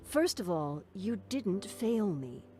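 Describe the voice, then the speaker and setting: A woman voicing a calm, kindly female professor in a game who speaks in a measured, formal and gently reassuring way. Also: mid-range voice, faint music behind.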